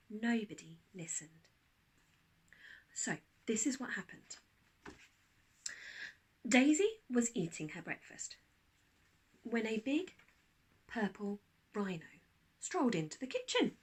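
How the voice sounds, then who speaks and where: A woman narrating a children's storybook like a teacher reading aloud, expressive, with dramatic pauses. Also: fairly high pitch, slightly rough.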